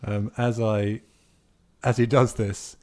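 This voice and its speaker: A man's voice: lowish voice, flat delivery, slow pace, a little mellow. A man casually improvising narration of his character's actions as a player in a roleplaying game.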